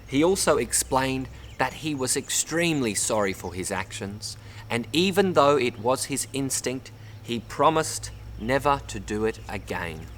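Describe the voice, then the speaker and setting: An Australian man reads a children's story aloud in an expressive way, addressing young listeners as a storyteller. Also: mid-range voice, measured pace, slight background noise. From Bundaberg, Queensland, Australia. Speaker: storybook reader. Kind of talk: read-aloud children's story, narration with word teaching.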